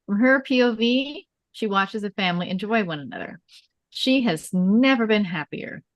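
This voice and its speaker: A woman's voice: mid-range voice, expressive, moderate pace, no room sound, poor audio. A woman reading screenplay stage directions as scripted narration, in short clipped storytelling phrases.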